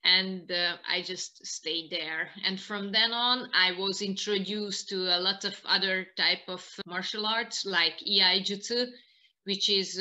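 A woman in her thirties with a Hungarian accent, answering interview questions in halting non-native English on a video call. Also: medium pitch, bright, forceful tone. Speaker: interview guest, martial arts practitioner. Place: small living room indoors, on a video call.

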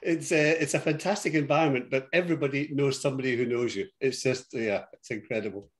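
A man's voice: medium pitch, quick pace. An older man with a Scottish accent, a guest chatting and telling stories over a video call from his home office. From Scotland. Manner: warm storytelling, frequent yes and laughs. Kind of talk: casual interview chat.

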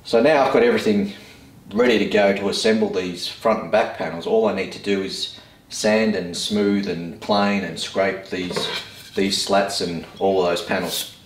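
A man's voice: low voice, fairly even intonation, normal speed. A man in his thirties with an Australian accent, casually explaining his woodworking project in plain, laid-back words from a home workshop. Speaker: woodworking vlogger. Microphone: camera mic, speaker a few steps away. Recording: echoey workshop, voice from a distance.

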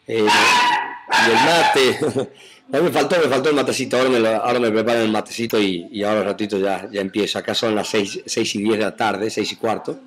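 A man with a mixed Argentine and Mexican Spanish accent, chatting casually from his home on a live webcam stream, rambling through viewer comments with many fillers and soft laughs. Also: on the low side, steady tone, a little fast, crowd noise. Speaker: actor doing a live webcam chat.

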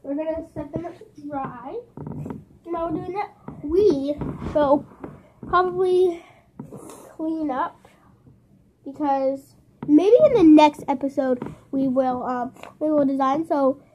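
A woman's voice: high voice, fairly even intonation, slow pace, long pauses, highs cut off, slight background noise. A young girl hosting a casual craft vlog from a bedroom floor, chatty and rambling as she talks straight to her viewers through a camera set on the floor. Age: child. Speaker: channel host. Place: small carpeted bedroom, seated on floor. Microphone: camera on the floor nearby.

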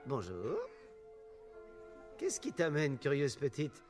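A man voicing a fussy, pompous astrophysicist gentleman in an animated film dub, with a hesitant, theatrical delivery. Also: medium pitch, slow pace, faint music behind. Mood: awkwardly polite. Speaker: fan dub voice actor.

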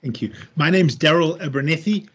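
Middle-aged man, South African accent, slightly higher pitch, steady video-call guest. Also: a little fast, breathy edge. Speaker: head of veterinary school, guest. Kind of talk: measured interview answers.

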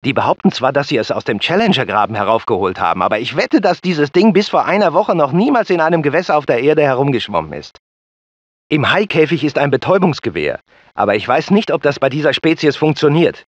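An excitable, doubtful scientist in a dramatic game. His theatrical voice moves widely up and down. The audio is dry and slightly dull. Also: a little high, quick pace, dry recording. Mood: intrigued, doubtful. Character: excitable, skeptical male research scientist. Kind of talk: dramatic game character dialogue, German dub.

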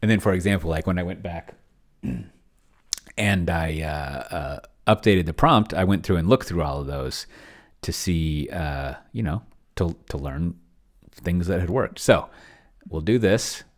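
A middle-aged man thinking aloud casually at his desk, halting and murmuring as he types and reads, close to the microphone. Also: sits low. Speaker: host of a solo roleplaying experiment stream. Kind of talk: casual thinking aloud while typing and reading from screen. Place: indoor home room. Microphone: desk microphone close to the mouth.

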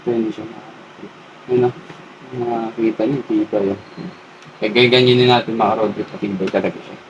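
A man with a Filipino accent giving a casual hands-on demo, haltingly thinking aloud as he works. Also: on the low side, fairly even intonation, dull audio. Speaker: motovlog host. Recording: distant mic with clear background noise.

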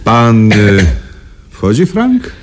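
A cautious lawyer in a radio drama. His low voice moves very slowly and haltingly, with big swings of pitch. The recording is very noisy. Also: huge pitch swings, full character voice. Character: Hetfield, balding bespectacled male lawyer, cautious card player. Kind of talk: Polish radio drama acting.

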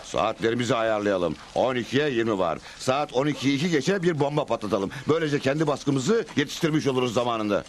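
A man's voice: medium pitch, lively intonation, brisk, few pauses, a little hiss or hum, close to an everyday voice. A man voicing a smug, pompous crooked newspaper villain in a Turkish-dubbed cartoon. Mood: plotting.

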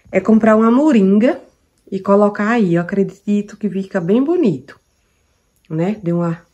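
A woman from Brazil speaks in a relaxed, chatty way, as if showing friends around her kitchen. Her country comes through in easygoing, affectionate phrasing full of little diminutives. Her voice sits at an ordinary middle pitch. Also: slightly rough. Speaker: vlogger. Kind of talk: casual cooking and shopping vlog.

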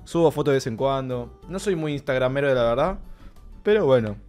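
A man in his twenties with an Argentine accent, chatting casually on a livestream from a small bedroom setup with a close boom mic. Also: lively intonation, brisk. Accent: Argentine Rioplatense Spanish. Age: 20s. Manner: chatty, jokey, reacting to his old clips. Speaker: streamer. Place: small bedroom streaming setup with blue lights. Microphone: boom mic close to his face. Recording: clean streaming mic with faint background music. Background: soft background music.

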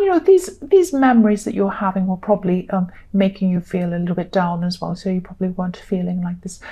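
A woman's voice: fairly high pitch, warm tone. A woman giving a conversational tarot reading, speaking straight to the listener in a reassuring way and often repeating her points. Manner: repetitive, reassuring. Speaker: tarot reader.

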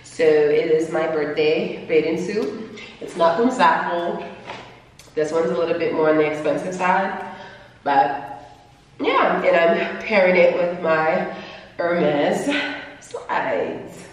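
A woman's voice: on the low side, roomy sound, long reverb tail, poor audio. A woman in her twenties talking casually and playfully to a camera held at arm's length in a hotel room. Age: 20s. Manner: playful, chatty, drawn-out emphasis. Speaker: vlogger.

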